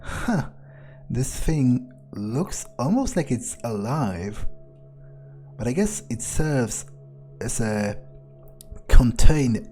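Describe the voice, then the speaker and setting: A man's voice: medium pitch, a little mellow. A man doing in-character roleplay commentary on a video game, musing and thinking aloud. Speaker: gameplay video host.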